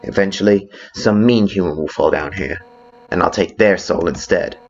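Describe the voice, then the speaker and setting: Man voicing a brash, low-pitched warrior woman. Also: normal speed, vocal fry, dull audio. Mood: frank, persuading. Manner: blunt, cocky, teasing. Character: brash fierce female fish warrior with a soft side. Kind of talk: video game fan dub.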